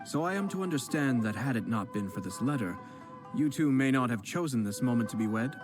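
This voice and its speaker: A man voicing a composed young male noble clan head who speaks in a measured, formal and polite way in a game. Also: mid-range voice, lively intonation, close to an everyday voice. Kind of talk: video game voice acting. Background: game music.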